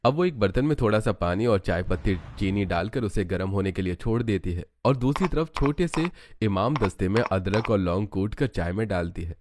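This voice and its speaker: Low-voiced man narrating a cartoon story. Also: animated, normal speed, hardly any pauses, background noise.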